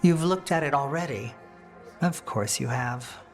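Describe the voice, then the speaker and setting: Dignified lady politician in a video game, firm n earnest, measured, bit of music under it. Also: rumbling low. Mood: firm, dry. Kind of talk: video game dialogue acting.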